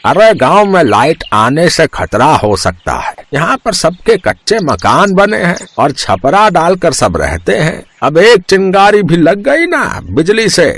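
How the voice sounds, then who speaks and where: A man playing a corrupt, blustering village headman in a dramatized story, indignant and boastful with a creaky voice. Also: a little high, sing-song, fairly bright tone, heavily acted. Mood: defensive, indignant. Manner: indignant, boastful, drawn-out delivery. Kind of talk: dramatized moral story acting.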